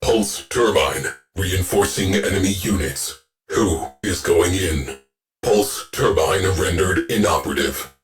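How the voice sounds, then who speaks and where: A stern shipboard AI officer in a video game, all clipped commands and dry deadpan remarks. His voice sits very low and unhurried, with faint music underneath. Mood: terse. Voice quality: clipped, deadpan military delivery. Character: stern male ship AI officer, terse, dry. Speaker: ship's AI officer.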